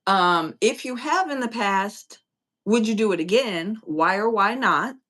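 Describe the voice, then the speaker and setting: A woman from upstate New York co-hosting a livestream, chatting informally with viewers in relaxed, slangy everyday talk. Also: medium pitch, unhurried. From upstate New York, United States. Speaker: co-host of a livestream. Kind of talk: casual livestream chat with viewers. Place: remote joint livestream, speakers in different states.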